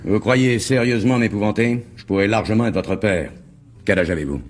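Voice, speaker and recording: An actor playing a gruff, skeptical middle-aged police inspector, commanding and sardonic, in a French radio crime drama. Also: male voice, lowish voice, a little fast, natural voice. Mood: stern, threatening.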